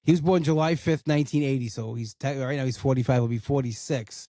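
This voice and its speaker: A man's voice: medium pitch, steady tone, fast, dark tone, no room sound, clean studio sound. A man in his forties who grew up in an Italian household, hosting a podcast in casual, rambling chat. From Italian-American household. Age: 40s. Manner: rambling, full of "like" and restarts. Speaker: podcast host.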